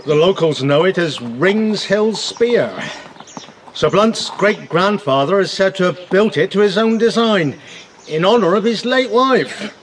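Doubtful, high-voiced period coachman. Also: animated, measured pace, a little hiss or hum. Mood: informative. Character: deferential, doubtful male coachman to a lady. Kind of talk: period radio drama acting.

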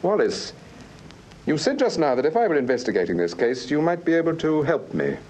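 An actor playing a calm, probing gentleman detective in a British radio mystery, with Received Pronunciation and measured, urbane questioning. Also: on the high side, low-quality recording. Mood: probing, composed. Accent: English Received Pronunciation. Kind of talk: British radio drama acting.

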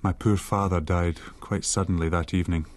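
An actor playing an earnest, polite young innkeeper's lad, anxious but plucky, in a radio drama of a classic adventure story. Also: male voice, deep voice, steady tone, brisk, close to an everyday voice. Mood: reflective.

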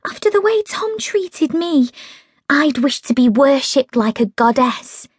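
A woman voicing a heartbroken, dreamy young woman in an audiobook, plaintive and breathy. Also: high voice, sing-song, a little slow, no echo, clean studio sound, pitched above the performer's own voice. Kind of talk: audiobook character voice.

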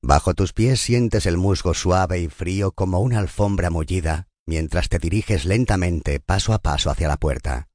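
A man narrating a scripted guided meditation in a calm, soothing and unhurried manner. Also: low voice, dry recording, studio quality.

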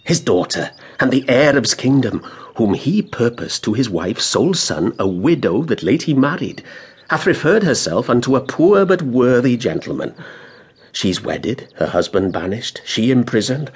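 A confiding male courtier in classical Shakespearean radio drama, full of news and admiration. The voice is very breathy and rough, with a lively, wide-swinging melody. He weighs his phrases rhetorically, leaning hard on key words. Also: medium pitch, measured pace. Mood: confiding, wry.

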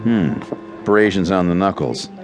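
An actor playing a brisk, detached, unruffled emergency room doctor in a radio drama. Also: male voice, sits low, soft background music. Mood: detached, businesslike.

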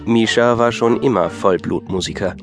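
Guy narrating an audio drama, lowish voice, dry and wry, music playing behind him. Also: normal speed.

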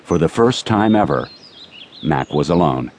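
A man's voice: rumbling low, animated, unhurried, noisy background. A man reading scripted narration for a dramatized Western audiobook. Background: music.

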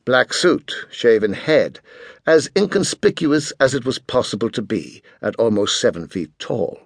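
A male narrator reads fiction with very lively, swooping intonation. His voice is mid-pitched and the recording is dry and close, in expressive audiobook style. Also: a little slow, dry recording.

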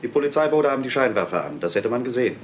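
An actor playing an excitable police sergeant under fire in a German audio thriller. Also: male voice, mid-range voice, racing, very noisy, natural voice. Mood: excited alarm. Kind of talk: German audio drama acting. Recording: loud music under the voice.

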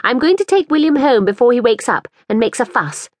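A woman's voice: a little high, big pitch swings, fairly quick, no echo, studio quality, voice pushed up. A woman voicing an impatient young mother who grows exasperated, in a storybook reading.

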